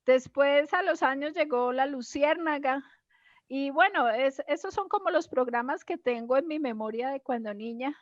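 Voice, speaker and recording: A woman's voice: sits high, moderate pace, no echo, muffled recording. A middle-aged woman with a Colombian accent, reminiscing casually in conversation. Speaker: interviewee sharing childhood memories.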